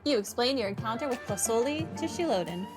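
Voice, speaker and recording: A woman's voice: a little high, animated, fast. A woman gaming streamer giving casual, wry, informal commentary on a playthrough. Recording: game music behind voice.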